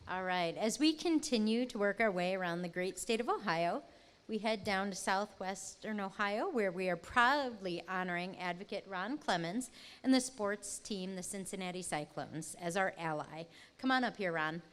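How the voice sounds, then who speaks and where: A woman hosts an awards ceremony from the stage in a scripted presenting style. Her mid-pitched voice rings through a hall with long reverb. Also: normal speed, a little hiss or hum. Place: large event hall, on stage.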